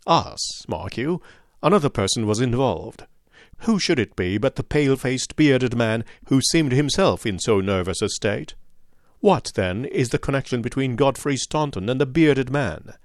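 Incisive detective read by a man, measured, precise, dryly ironic, mid-pitched, dry close sound, audiobook. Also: expressive, no room sound, natural voice. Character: calm, incisive adult male detective.

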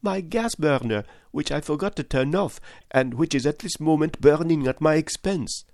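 A man reading an audiobook voices an excitable, voluble French manservant who talks fast and stubbornly. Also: a little high, no echo, clean studio sound, pitched above the performer's own voice, close to an everyday voice. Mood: fretful.

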